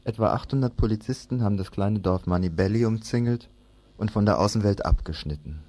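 A man's voice: on the low side, brisk, dark tone. A man presenting news and putting questions as a radio interviewer.

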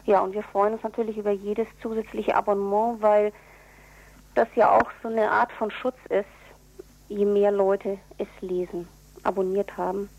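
A woman being interviewed on radio, explaining things in an earnest, deliberate way. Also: mid-range voice, level tone, darkish tone, highs cut off. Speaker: interviewee, activist for prisoners' relatives newsletter.

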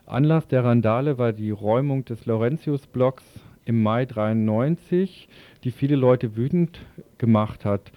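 A man's voice: mid-range voice, dry recording, narrow, tinny audio. A man reading news summaries as a radio presenter.

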